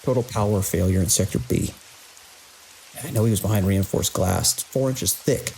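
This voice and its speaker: An actor playing a grim, commanding security chief in a horror audio drama, giving terse, urgent orders. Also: male voice, low-pitched, moderate pace, vocal fry, a little mellow, rough recording. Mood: grim, shaken. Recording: constant hiss and rain-like noise.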